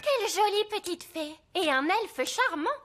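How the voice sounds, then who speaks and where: An actress voicing a kindly but firm primary schoolteacher in a French cartoon, sing-song and patient. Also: female voice, very high voice, expressive, normal speed, fairly bright tone. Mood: firm, amused. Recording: music behind the voice.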